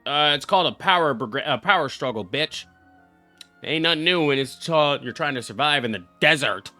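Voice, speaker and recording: Brash, animated young man, bright voice. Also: on the high side, brisk. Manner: brash, heavily profane, exclamatory. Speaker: game streamer. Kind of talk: casual live reaction commentary. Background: game music.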